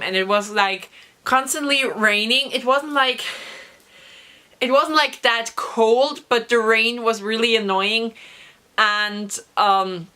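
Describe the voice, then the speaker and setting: A young woman vlogger with a hoarse voice from a recent cough, chatting through an excited story with many fillers. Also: medium pitch. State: hoarse, recovering from lost voice. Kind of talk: casual storytelling vlog.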